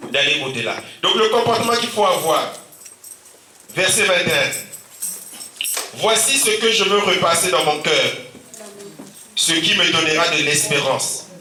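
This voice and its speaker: Central african french pastor preaching w a cold, bright voice, amen every few lines, echoey church mic far off. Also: male voice, on the high side, slight background noise. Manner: preaching with frequent amen and alleluia calls. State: sore throat and a cold. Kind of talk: sermon. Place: church hall.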